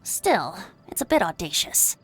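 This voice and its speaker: A woman's voice: fairly low pitch, sing-song, a little slow, breathy edge, faint music behind, heavily acted, rough recording. A woman voicing a playful young male travelling bard in a video game, speaking in a whimsical, flowery, theatrical way. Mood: theatrical indignation. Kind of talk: animated video game voice acting.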